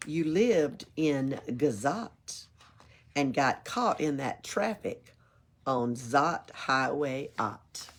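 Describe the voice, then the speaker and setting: A woman's voice: very deep voice, drawn-out words, a little hiss or hum. A woman reading a rhyming picture book aloud at a library storytime, playful, with little asides of her own. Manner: expressive, playful, adds asides and chuckles.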